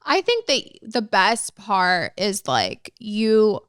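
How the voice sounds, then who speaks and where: Woman guest on a podcast, relaxed, laughs easy, clean smooth voice, dry. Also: mid-range voice, slow, no echo. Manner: relaxed, laughs easily, says like often. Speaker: guest, marketing director. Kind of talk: casual chat. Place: office.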